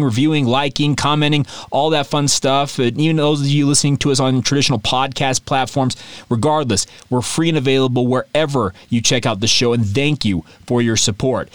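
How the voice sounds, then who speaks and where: A man's voice: on the high side, no room sound. Chatty man hosting a sports podcast. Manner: chatty, animated, talks straight to listeners. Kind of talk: solo sports podcast commentary.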